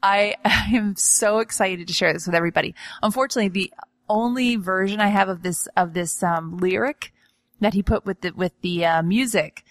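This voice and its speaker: A woman's voice: medium pitch, normal speed, no echo. Woman chatting on a podcast, rambly storyteller, laughs a lot, keeps restarting her sentences. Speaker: real estate agent and podcast host. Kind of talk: casual chat.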